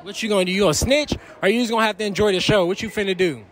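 A man's voice: high-pitched, rapid-fire. A young man interviewing strangers in a shopping mall, teasing them and laughing often, talking in heavy slang. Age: young adult. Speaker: street interviewer. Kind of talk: playful public interview. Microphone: handheld phone held out.

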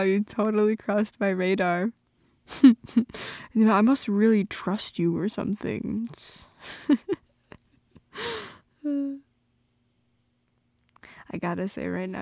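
Playful young girlfriend played by a woman for ASMR roleplay: highish, breathy, teasing, recorded close and dry. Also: no room sound, full character voice. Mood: giggly, teasing. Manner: intimate, often breathy and whispered, giggly teasing. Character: playful, affectionate young girlfriend on a night drive.

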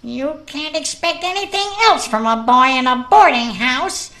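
Audio drama: grumbling landlady female actor, high and sharp, disapproving grouse. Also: animated, normal speed, heavily acted. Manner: grousing, growling.

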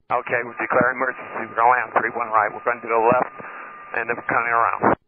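Guy flying an airliner talking to tower, medium pitch, thin radio full of static. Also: little pitch movement, fast talker. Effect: cockpit radio. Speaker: airline pilot. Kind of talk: radio transmissions to control tower. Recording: tinny, very noisy aircraft radio.

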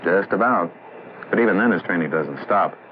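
Low-voiced controller, old film soundtrack. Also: male voice, animated, normal speed. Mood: matter-of-fact. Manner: explanatory, matter-of-fact. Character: seasoned male air traffic controller explaining his job. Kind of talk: scripted 1960s educational film dialogue. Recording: muffled old film soundtrack, faint hiss.

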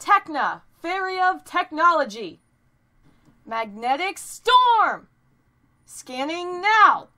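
A woman's voice: big pitch swings, slow, sharp tone, exaggerated voice. A woman voicing a spirited young cartoon technology fairy with emphatic, heroic delivery. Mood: determined. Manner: high, emphatic battle calls.

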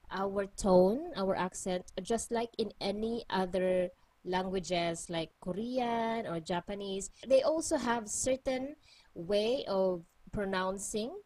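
Slow-paced Cebuano-accented woman teacher. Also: mid-range voice, rough recording. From Philippines. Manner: patient, repeats each word slowly. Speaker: language teacher. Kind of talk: online language lesson.

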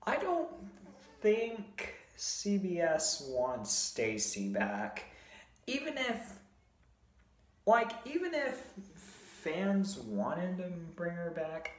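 A young man talks into his phone at arm's length in a hotel room, his voice hoarse from a sore throat. He speaks very slowly, stopping and restarting with many fillers. The small room adds a clear echo around him. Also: on the high side, wildly swooping pitch. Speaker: video host. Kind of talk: casual vlog chat. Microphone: phone camera at arm's length.

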